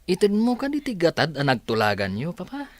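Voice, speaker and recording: A man's voice: fairly high pitch, huge pitch swings, fairly quick, darkish tone, no echo. A man acting a defensive, evasive young rich son in an Ilocano radio drama, hesitant and protesting. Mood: sheepish.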